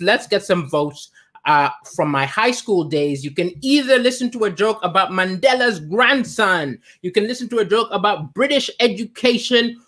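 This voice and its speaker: A middle-aged man from Malawi hosting a live comedy stream from his kitchen, talking animatedly into a webcam. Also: high-pitched. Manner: animated, gesturing, shifts between chatty asides and punchlines. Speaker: comedian and livestream host. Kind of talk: stand-up comedy on a livestream. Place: home kitchen, indoors. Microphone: webcam at desk.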